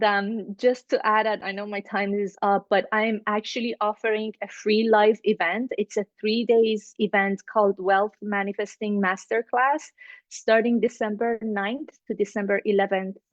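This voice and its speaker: A woman's voice: mid-range voice, flat delivery, a little slow, no room sound, highs cut off. A woman from the Middle East, English not her first language, speaking as a mindset coach in an online networking circle, earnest and motivational, repeating phrases for emphasis. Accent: Middle Eastern. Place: home, video call. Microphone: video call.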